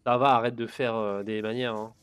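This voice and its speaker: A man hosting a let's play, giving relaxed, chatty commentary on his gameplay and talking to himself as he goes. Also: mid-range voice, brisk.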